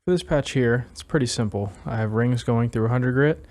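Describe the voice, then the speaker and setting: A man's voice: medium pitch, moderate pace, darkish tone. A man casually walking through audio gear as a reviewer, laid-back and self-deprecating in manner. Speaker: synthesizer module reviewer. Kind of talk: casual gear demo talk.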